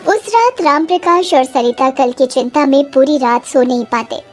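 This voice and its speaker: A woman's voice: high-pitched, moderate pace, soft background music. A woman reading scripted, dramatic story narration.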